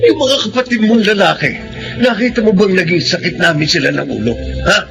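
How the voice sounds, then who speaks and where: A man's voice: high voice, lively intonation, a little fast, gravelly. An older man playing a stern, outraged father who scolds with pointed questions in a Filipino audio melodrama. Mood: furious. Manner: scolding, forceful rhetorical questioning. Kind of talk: Filipino radio-style melodrama acting. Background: dramatic music.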